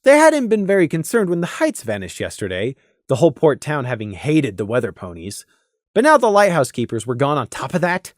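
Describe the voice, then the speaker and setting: A woman's voice: low-pitched, wildly swooping pitch, fairly quick, dry recording, studio quality. A woman reading scripted story narration as an audiobook narrator.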